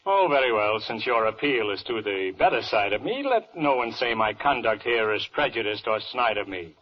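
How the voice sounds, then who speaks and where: An actor playing a vain, bloodthirsty, fickle judge, blustering in theatrical rhyme in a 1940s radio verse comedy. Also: male voice, on the low side, expressive, normal speed, slight background noise, natural voice. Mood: grandly self-important. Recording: muffled old radio broadcast.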